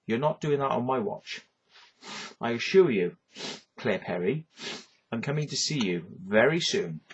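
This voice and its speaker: A man's voice: mid-range voice, expressive, a little slow, husky, muffled recording. A man with a Welsh accent delivering a rambling, swearing rant, tagging lines with "yeah" and "right". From Wales. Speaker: vlogger. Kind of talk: ranting monologue to camera.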